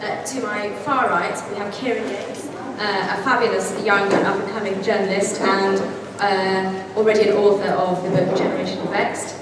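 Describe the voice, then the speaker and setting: A woman moderating a panel, slightly hoarse, introducing the speakers with many fillers. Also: mid-range voice, flat delivery, moderate pace. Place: large conference hall. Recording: very echoey hall, distant, loud room noise.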